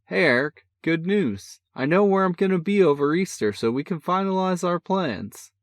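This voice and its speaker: A man reading a story, voicing a boisterous, excitable older brother who talks loud and folksy. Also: high voice, normal speed, dry recording, clean studio sound, pitched above the performer's own voice. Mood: excited. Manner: loud, folksy, keeps calling his brother kid. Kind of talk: audiobook character voice.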